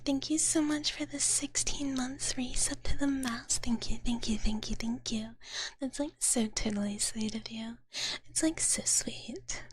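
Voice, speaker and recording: Woman, soft breathy whisper, higher-pitched, doting cutesy big-sister ASMR chat, repeated words, close dry microphone. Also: dry recording. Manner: gentle, doting, repeats words, peppered with "like, totally". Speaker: ASMR streamer. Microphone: close microphone, dry sound.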